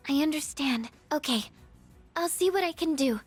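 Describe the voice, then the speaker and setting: Game role: shy, eager-to-please schoolgirl, extremely high voice, soft and halting delivery. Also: animated, soft background music. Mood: hesitant, kind. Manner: soft-spoken, hesitant, apologetic. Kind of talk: English video game voice acting.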